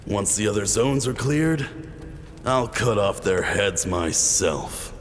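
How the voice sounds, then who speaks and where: Cruel military captain in cavernous reverb. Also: male voice, lowish voice, animated, slow pace, a little hiss or hum. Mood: cold, contemptuous. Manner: menacing, mocking. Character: cruel commanding military captain hunting villagers. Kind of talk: dramatic video game acting. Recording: long hall reverb under loud music.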